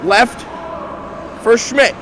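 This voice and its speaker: A young man calling live hockey play-by-play, his voice a little raspy from a weekend of games. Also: very high-pitched, lively intonation, extremely slow. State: slightly raspy from three games. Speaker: play-by-play commentator. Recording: loud crowd and arena noise.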